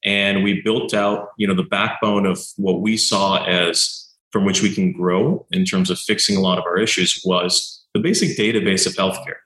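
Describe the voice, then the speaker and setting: A doctor and founder in his thirties, telling stories in an animated way and acting out quoted exchanges, with lots of "you know" fillers. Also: sits low, normal speed, echoey room, low-quality recording. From Canada. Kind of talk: conversational interview answers.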